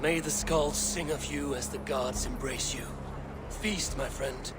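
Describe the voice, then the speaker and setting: An actor playing a loyal, plain-spoken Viking warrior in a video game cutscene, with a measured, earnest delivery. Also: male voice, medium pitch, fairly even intonation, heavy background noise, close to an everyday voice. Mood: solemn. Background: prominent music.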